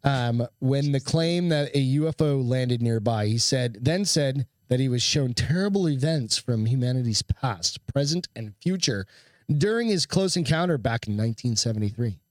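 A man's voice: medium pitch, lively intonation, moderate pace, no echo. Male podcast co-host, mid-range voice, swears freely, jokey and sarcastic, close dry sound. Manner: profane, joking, sarcastic. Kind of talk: casual chat, reading news stories.

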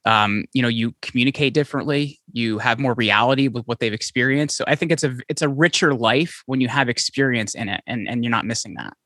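A man talking fast and eagerly as a podcast guest, piling up quick restarts as he tells his story. His voice is bright and forward. The sound is dry and close, with no room around it. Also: medium pitch, no room sound. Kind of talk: conversational interview. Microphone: podcast microphone, remote call.

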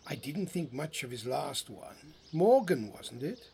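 Gravelly, grumbling older husband. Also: male voice, on the high side, measured pace, exaggerated voice. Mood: wry grumbling. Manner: grumbling, dry, deadpan. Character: grumbling older husband, long married, drily teasing. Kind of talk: audio play acting.

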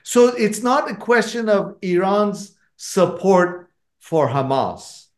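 A man's voice: high voice, big pitch swings, slow, reverberant. A man with an Iranian accent, an author answering audience questions at a book talk, speaking emphatically with frequent fillers and restarts.

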